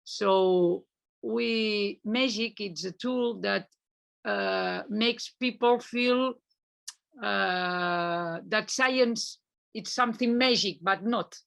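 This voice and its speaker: A woman's voice: mid-range voice, creaky, fairly bright tone. A woman from Spain, giving an unscripted conference presentation over a video call and hesitating often as she searches for words. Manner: hesitant, many fillers and restarts.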